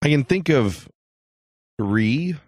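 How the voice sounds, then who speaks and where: A man's voice: medium pitch, animated, takes it slowly, dry recording, studio quality. A man chatting casually as co-host of a podcast. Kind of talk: casual chat.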